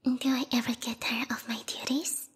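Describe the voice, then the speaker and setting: A woman voicing a formal, haughty bat girl who serves a vampire queen, speaking in a breathy, whispery ASMR roleplay style close to the microphone, politely menacing. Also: fairly high pitch, flat delivery, moderate pace, long reverb tail. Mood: amused, proud. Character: yandere bat monster girl, devoted servant of vampire queen. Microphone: close binaural microphone.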